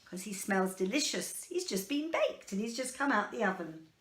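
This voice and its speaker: A woman's voice: medium pitch, sing-song, normal speed, a bit bright, reverberant. A woman reading a picture book aloud to children in a chatty way, prompting them with simple questions. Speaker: children's storyteller.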